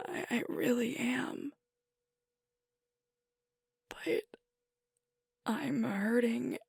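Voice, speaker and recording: A woman playing a sweet, vulnerable alpha werewolf girlfriend in an intimate ASMR roleplay, speaking softly and hesitantly as she grieves. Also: fairly high pitch, no room sound, clean studio sound, heavily acted. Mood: hurting, self-reproachful. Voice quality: soft, breathy, often whispered. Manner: slow, hesitant, confiding, with pauses.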